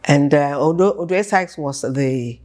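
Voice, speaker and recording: A woman's voice: low voice, a little slow, a little mellow. A woman in her seventies with a Ghanaian accent touched with British, speaking as an interview guest. She tells her life story conversationally, hesitating and repeating phrases for emphasis. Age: 70s.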